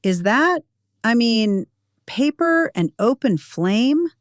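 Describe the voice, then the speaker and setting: Audio story part: nervous young man played by a woman, lifted pitch, halting, apologetic, unsure of himself. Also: very animated, dry recording, studio quality. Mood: uneasy. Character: hesitant, uneasy young male photographer, apologetic. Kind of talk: acted dialogue in a narrated audio story.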